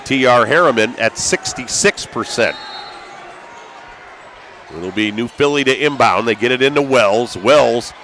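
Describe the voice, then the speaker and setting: An American man doing live basketball play-by-play as a broadcaster, excitable and raising his voice with the action. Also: mid-range voice, unhurried, pauses often. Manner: excitable, calls out action with raised voice. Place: courtside in high school gymnasium. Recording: live gym broadcast with background noise.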